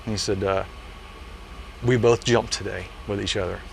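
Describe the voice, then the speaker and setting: A man's voice: on the low side, steady tone, normal speed, gravelly, a little hiss or hum, rough recording. A man in his late forties, a parachute jumpmaster, telling stories in a casual interview with an earnest manner and many "you know" fillers. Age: late 40s.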